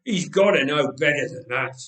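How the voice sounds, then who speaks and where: A man's voice: a little high, expressive, moderate pace, a bit bright. A man voicing a menacing knife-wielding debt collector in a story, growling and mocking. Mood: sneering. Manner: mocking, taunting. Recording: roomy, echoing space.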